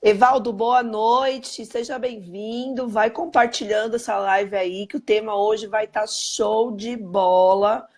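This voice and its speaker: A hoarse woman with a Brazilian Portuguese accent, hosting a live stream in an upbeat way and greeting viewers by name. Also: a little high, steady tone, slow pace, few pauses, darkish tone. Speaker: host.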